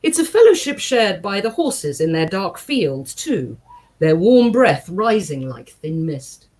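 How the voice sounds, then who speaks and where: A woman reading aloud to a congregation in an expressive style, with measured, deliberate phrasing and emphatic pauses. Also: medium pitch. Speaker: guest reader at a synagogue service. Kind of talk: expressive book reading with short spoken introduction.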